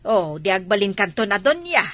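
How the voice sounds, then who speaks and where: A woman's voice: mid-range voice, very animated, moderate pace, a bit bright, no echo. A woman with an Ilocano Filipino accent playing a nosy, gossipy village woman in an audio drama. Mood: needling, nosy. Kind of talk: amateur audio drama acting.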